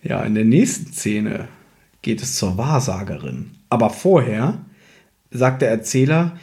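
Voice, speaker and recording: A man's voice: medium pitch, animated, a little slow, darkish tone. A man co-hosting a podcast, chatting casually and conversationally as he recaps an audio drama from his notes. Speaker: podcast co-host.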